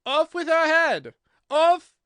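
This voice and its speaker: An imperious storybook Queen, played by a man, shrieks in a shrill, very high voice. She is loud, severe and furious, barking every order with wild swoops of pitch. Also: expressive, takes it slowly, no echo, heavily acted.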